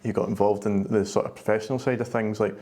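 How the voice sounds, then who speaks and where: A man's voice: on the low side, steady tone, fast talker, mellow. A man with a Scottish accent, putting questions as a sports writer in a casual interview.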